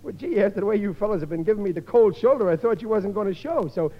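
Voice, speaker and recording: An actor playing a good-hearted, bewildered middle-aged father in a 1950s radio comedy, stammering and flustered with rough grammar. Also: sits high, lively intonation, fast, slight background noise. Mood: hurt, bewildered. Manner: ungrammatical, flustered working-class speech. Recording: muffled old 1950s radio broadcast.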